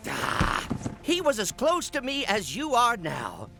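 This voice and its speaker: A high-strung, cowardly executive in a video game. His voice is very high and bright, swooping wildly as he splutters and pleads. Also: huge pitch swings, takes it slowly, creaky, exaggerated voice. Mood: panicked, pleading. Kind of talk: comic video game cutscene acting.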